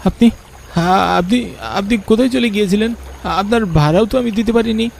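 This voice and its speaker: A man's voice: sits high, fast, vocal fry, a little hiss or hum, voice pushed up. A man voicing an anxious young grandson in a cartoon horror story, polite, pleading and full of questions.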